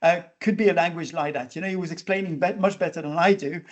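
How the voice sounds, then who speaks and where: A man's voice: fairly high pitch, fairly even intonation, fast, muffled recording. A man hosting an interview podcast, talking casually with many fillers and restarts. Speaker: podcast host.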